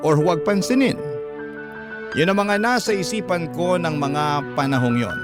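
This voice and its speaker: A man's voice: on the high side, moderate pace. A man narrating a scripted first-person radio story in a schoolgirl's words, confiding and dramatic. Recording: music behind the narration.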